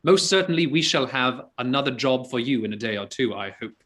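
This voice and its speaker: An actor playing a witty, scheming gentleman in disguise, with an arch, theatrical delivery. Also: medium pitch, animated, a little fast, natural voice. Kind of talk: stage-play reading.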